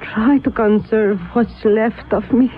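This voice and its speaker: A bitter, worn-out old mystic woman in a radio drama. She speaks slowly and with effort, scolding as she goes, through a thin radio band with faint noise. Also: on the high side, steady tone, narrow, tinny audio. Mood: weary, bitter. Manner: weary, scolding, labored. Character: weary, bitter old aunt, a failing mystic.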